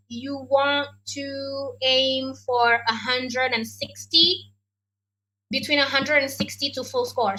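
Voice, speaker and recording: Indonesian-accented woman adviser, upbeat Q&A talk, bright and a little high. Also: poor audio. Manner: chatty, many ums and likes. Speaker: education adviser. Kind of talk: online Q&A session.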